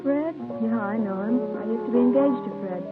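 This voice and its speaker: An actress playing a chatty, wistful, career-minded American dance-band singer in a 1950s radio drama. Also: female voice, a little high, fairly quick, phone-quality sound, lightly acted. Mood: friendly, chatty. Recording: thin vintage radio sound, loud music behind.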